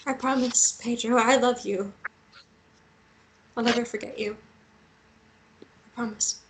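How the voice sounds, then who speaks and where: A woman's voice: a little high, steady tone, unhurried, slight background noise, natural voice, low-quality recording. A woman playing a tender, heartbroken young woman in love, overwhelmed, in a table read of a romance drama. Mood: desperate love. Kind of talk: acted screenplay table read.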